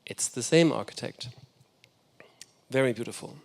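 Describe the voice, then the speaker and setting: A man's voice: mid-range voice, moderate pace, gravelly, darkish tone. A man whose first language is German, giving an enthusiastic, reflective architecture lecture. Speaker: guest lecturer.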